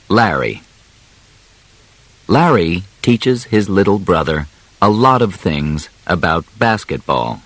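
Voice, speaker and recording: A man narrating an audiobook biography from a script, phrasing deliberately in short, separated chunks. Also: lowish voice, no room sound, low-quality recording.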